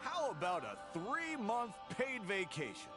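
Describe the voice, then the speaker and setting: A pushy smooth-talking game boss. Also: male voice, high-pitched, wildly swooping pitch, unhurried, exaggerated voice. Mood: placating. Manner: dismissive, managerial. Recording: game music behind.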